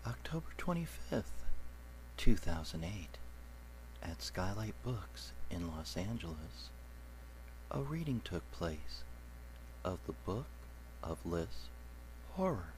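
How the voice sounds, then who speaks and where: A man reading a short, slow and measured scripted introduction. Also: deep voice, very animated, slight background noise, rough recording. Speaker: video narrator.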